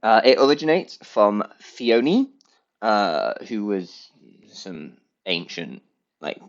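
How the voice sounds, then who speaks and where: A man's voice: on the low side, muffled recording. Stuffy-nosed man rambling on his podcast. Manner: rambling, self-correcting, playfully goofy. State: stuffy blocked nose. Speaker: podcast host. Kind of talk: casual solo podcast rambling.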